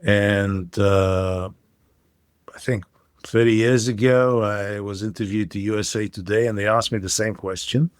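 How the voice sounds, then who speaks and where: A man in his fifties, born in Israel, speaks as a founder on an interview podcast. His voice sits low and comes across dry and close. He often stops to hunt for a word and backs up to start a phrase over. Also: steady tone, unhurried, smooth, no room sound. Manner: hesitant, many fillers and restarts.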